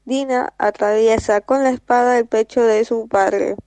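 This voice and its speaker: Flat-toned teenage girl narrating. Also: a little high, unhurried. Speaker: student narrator. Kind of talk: scripted horror story narration.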